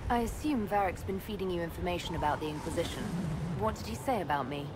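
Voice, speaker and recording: Woman acting a low-voiced, composed Inquisitor. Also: fast talker, natural voice. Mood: inquiring. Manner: formal, direct, inquiring. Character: composed female Inquisitor, serious burdened young leader. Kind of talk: fantasy game dialogue. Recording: clear ambient noise behind dialogue.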